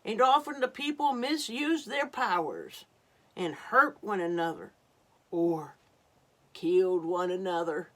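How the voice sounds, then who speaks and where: Female children's storyteller. Lowish voice, very slow pace, dramatic reading with murmured asides to young listeners. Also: lively intonation. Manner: dramatic, unhurried, drops to hushed murmurs in asides.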